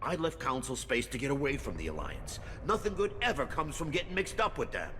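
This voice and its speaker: An actor playing a rattled, resentful colony mechanic in a video game, suspicious and grumbling. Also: male voice, medium pitch, sing-song, a little fast, fairly bright tone, in a large hall, slight background noise. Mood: bitter, suspicious. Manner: grumbling, agitated.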